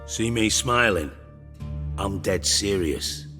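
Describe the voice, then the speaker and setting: Underworld crime lord, fairly deep voice, sardonic, blunt, menacing, video game, light music behind. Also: male voice, animated, takes it slowly, sharp tone, long reverb tail, exaggerated voice. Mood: dry. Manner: sarcastic, dry, blunt. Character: menacing, sardonic, foul-mouthed male underworld crime boss.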